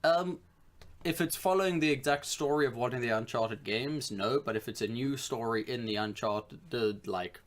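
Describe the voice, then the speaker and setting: A man's voice: medium pitch, normal speed. Casual man chatting on a podcast. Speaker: podcast co-host. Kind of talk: casual chat about films and games.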